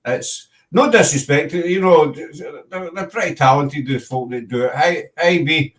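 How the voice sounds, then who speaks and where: A man's voice: a little high, unhurried. A man with a Glaswegian Scottish accent hosts a loose livestream chat. He rambles, restarts his sentences and keeps breaking off to greet viewers. His voice sounds a little distant from the mic. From Scotland. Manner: rambling, self-interrupting, chatty with viewers. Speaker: host.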